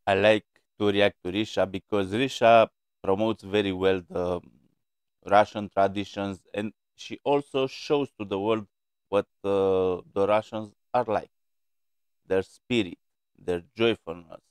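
A middle-aged man hosting a reaction video, talking in a measured way with many filler pauses, from a small room at a desk mic. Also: lowish voice, clean tone, dry recording. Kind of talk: casual commentary. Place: small plain room indoors.

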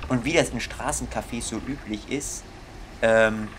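A man's voice: medium pitch, a little slow, fairly bright tone, slight background noise. A young man giving casual gaming commentary in a grumbling, comically exasperated manner. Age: young adult. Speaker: let's player.

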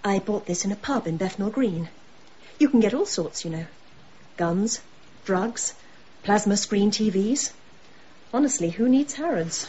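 A woman's voice: medium pitch, animated, a little slow, pauses often, dark tone, dull audio, a little hiss or hum, natural voice. A woman playing a bitter, sardonic murderess in a radio drama, mocking and scornful. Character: bitter, sardonic murderous wife holding people at gunpoint.

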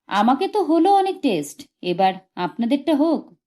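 A woman's voice: high-pitched, very animated, moderate pace, dark tone, pitched above the performer's own voice. A woman voicing a cocky young bridegroom who banters and throws out playful challenges in an audiobook. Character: cocky, teasing young bridegroom at his wedding night.

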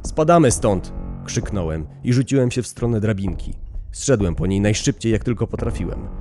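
A man's voice: on the low side, brisk, over music. A man hosting a horror story podcast, reading and talking to listeners in a storytelling way. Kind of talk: dramatic story narration with casual commentary.

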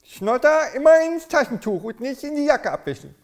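Comedy sketch: man as lazy, dim young father faking brilliance, slangy and self-assured, pitch jumping around. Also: very animated, normal speed. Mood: dim, casual. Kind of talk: sketch comedy character acting. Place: classroom.

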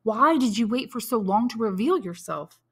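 A woman's voice: on the high side, expressive, normal speed, fairly bright tone, slightly rough, pitched above the performer's own voice, full character voice. A woman voicing an earnest, grateful young man in a storybook, with a reverent, pleading delivery. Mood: earnest wonder.

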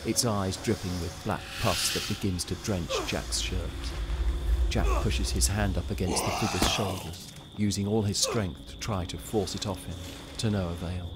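A man's voice: sits low, steady tone, normal speed, heavy background noise. A man reading scripted narration for an audio drama.

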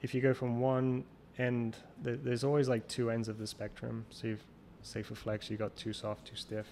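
Gravelly low-voiced man, flat delivery. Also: normal speed. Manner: rambling, restarts often, thinks aloud. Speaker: guest, champion water skier. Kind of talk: casual interview conversation. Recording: remote call recording with faint noise.

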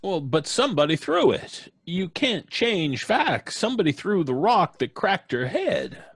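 An actor playing a skeptical old country doctor in old-time radio style, stammering and hesitant. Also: male voice, high voice, big pitch swings, measured pace, slightly rough, no room sound, clean studio sound, exaggerated voice. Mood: flustered, doubting.

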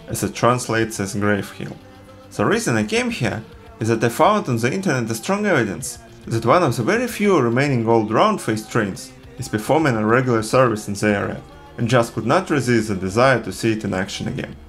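A man from Russia, narrating a travel film in an earnest, measured voice-over. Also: medium pitch, sing-song, a bit bright, soft background music. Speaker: travel vlogger.

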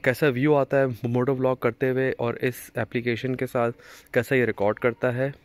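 A man's voice: medium pitch, little pitch movement, moderate pace, dry recording. A young man from Pakistan, casually explaining his motovlog setup step by step, recorded outdoors on a street by a phone on a chest mount. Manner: chatty, explaining step by step with small restarts. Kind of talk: casual how-to vlog. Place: outdoors on a street beside a parked motorcycle.

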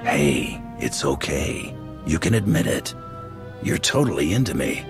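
An actor playing a cocky, gruff, mercenary bounty hunter who speaks in a laconic, teasing way. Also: male voice, very deep voice, sing-song, a little slow, over music, heavily acted. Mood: smug teasing.

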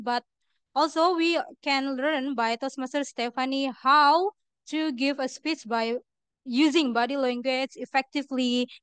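Young indonesian woman mc at a club meeting, high pitched voice, pretty dry sound. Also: fairly bright tone, dry recording. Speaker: meeting host.

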